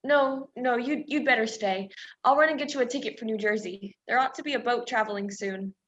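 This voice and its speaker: A woman's voice: a little high, a little fast, a bit bright, dull audio, lightly acted. A woman playing a fretful, reluctant young female companion, flustered and doubting, in a radio play. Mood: tender, flustered.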